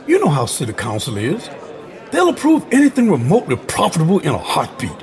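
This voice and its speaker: A man's voice: on the high side, huge pitch swings, fairly quick, some chatter behind. A man playing a frustrated, outspoken neighborhood resident in an audio drama, exasperated and cynical.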